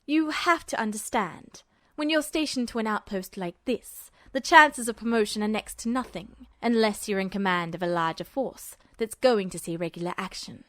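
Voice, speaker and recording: A woman playing a calm, polite, calculating male army officer with quiet menace in a story reading. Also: medium pitch, normal speed, dry recording, studio quality, voice pushed down, close to an everyday voice. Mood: calm, detached.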